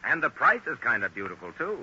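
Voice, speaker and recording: A man chats through a scripted 1940s radio commercial as the sidekick. His tone is very bright and forceful, with lively pitch movement. The vintage broadcast sounds dull and faintly noisy. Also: quick pace, breathy edge. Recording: muffled 1940s radio recording, faint noise.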